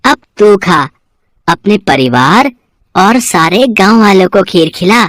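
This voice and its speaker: A woman voicing a calm, sweet boy god in a devotional cartoon story, gently insistent and respectful. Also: medium pitch, slow pace, no echo, clean studio sound, pitched below the performer's own voice. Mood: content, blessing.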